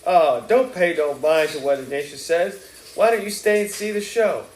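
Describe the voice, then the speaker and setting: A man's voice: on the high side, normal speed, roomy sound, a little hiss or hum, pitched above the performer's own voice, natural voice. A man reading aloud and voicing a chatty, doting middle-aged Southern mother in a gushing, folksy way. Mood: doting chatter.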